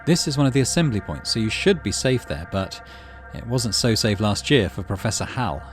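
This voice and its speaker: A man hosting a let's play, reading a story game aloud with expressive delivery and muttering asides about his moves. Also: lowish voice, moderate pace, faint music behind.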